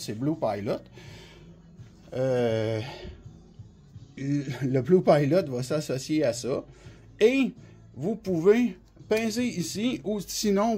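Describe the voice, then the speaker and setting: A man with a Quebec French accent and a husky voice gives an informal, colloquial product demonstration, explaining in a rambling, hands-on way. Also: sits high, a little mellow. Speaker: CB radio specialist and seller presenting products.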